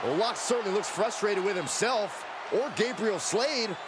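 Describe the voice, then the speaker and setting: A man's voice: high voice, animated, moderate pace. A man playing a keen, emphatic wrestling commentator in a video game. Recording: roaring crowd and loud arena noise.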